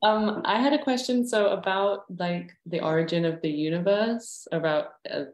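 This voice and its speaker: A woman's voice: mid-range voice, moderate pace, reverberant, low-quality recording. A woman from Southeast London with a London English accent, asking a study-group question hesitantly, full of "like" and "um". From Southeast London, England. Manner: hesitant, many "like" and "um" fillers. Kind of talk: casual question.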